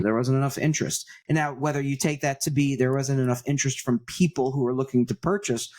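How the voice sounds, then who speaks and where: A man co-hosting a podcast in a casual, rambling, opinionated way. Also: medium pitch. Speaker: podcast co-host. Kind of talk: casual panel discussion.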